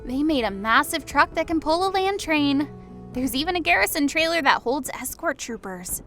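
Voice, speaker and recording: A woman's voice: high voice, animated, normal speed, sharp tone, soft background music, heavily acted. A woman playing an eager, amused female soldier in an audio drama. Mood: excited, amused. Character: Chicks, eager, amused female soldier studying military schematics. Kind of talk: audio drama character acting.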